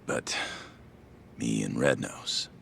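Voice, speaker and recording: A tired gangster in a game drama. His voice is extremely low and full of fry, subdued and bitter as he confides, with faint noise behind. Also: slow pace, a bit bright, heavily acted. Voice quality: very deep, creaky. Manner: subdued, resigned, confessional. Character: weary, bitter young yakuza man confiding his story. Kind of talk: video game cutscene acting.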